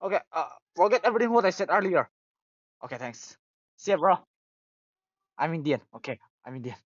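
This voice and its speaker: A young man with a Russian accent, hosting a casual joking chat on a voice call, stammering and excitable. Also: fairly high pitch, a little fast, no room sound, lo-fi sound. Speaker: channel host. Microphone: phone on a voice call.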